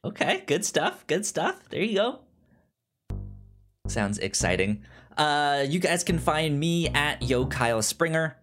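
A man co-hosting a casual film podcast, chatting in a halting way and often repeating words as he goes. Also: on the high side, very animated, a bit bright, soft background music. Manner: halting, with stuttered word repeats. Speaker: podcast co-host.

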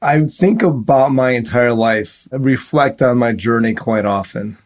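Calm, measured man from Ohio. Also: mid-range voice. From Cleveland, Ohio suburb. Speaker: interview guest. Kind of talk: calm, reflective interview answers.

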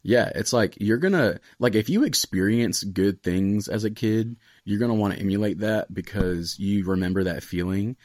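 A man's voice: lowish voice, normal speed, few pauses, a little mellow, no room sound, studio quality. An American man in his late twenties chatting casually and earnestly as a podcast host, with plenty of "like" and "you know". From Raleigh area, United States. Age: late 20s. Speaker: podcast host, student pastor.

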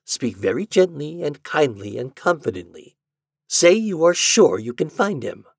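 Man voicing a fretful old doctor, swooping pitch. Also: sing-song, normal speed, vocal fry, breathy edge, no room sound, clean studio sound, exaggerated voice. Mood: eager, insistent. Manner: earnest, pleading, emphatic. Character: kindly, fretful, eager old male doctor. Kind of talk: audiobook character dialogue.

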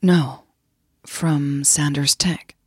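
Narrator lady doing a low soft tender girlfriend, breathy and slow, bit teasing. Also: steady tone, no echo, studio quality, full character voice. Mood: hesitant. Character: tender, teasing woman lover with a secret.

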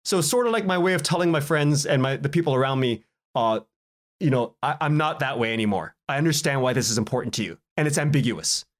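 A man speaking casually as a podcast guest, in a halting, rambling way, often repeating words and cutting himself off. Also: medium pitch, expressive. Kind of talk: casual interview conversation.

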